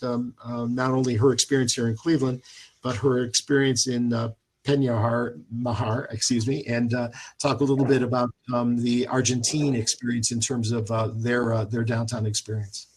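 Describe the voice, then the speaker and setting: A man moderating a panel over a video call, halting, with many fillers as he hands over to a speaker. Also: mid-range voice, little pitch movement, rough recording.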